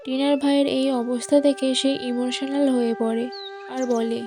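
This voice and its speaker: High-voiced woman narrating, music behind. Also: little pitch movement, normal speed, a little mellow. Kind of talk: scripted cartoon storytelling narration.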